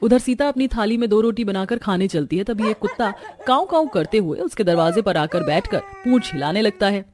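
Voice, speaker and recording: A woman's voice: mid-range voice, lively intonation, brisk, few pauses, darkish tone. A woman reading scripted narration for a moral cartoon story as its storyteller.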